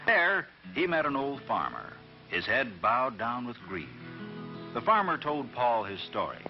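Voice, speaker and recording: A man narrating a scripted children's folk tale in a dramatic, expressive storytelling manner. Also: mid-range voice, unhurried. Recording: dull vintage recording, faint music behind.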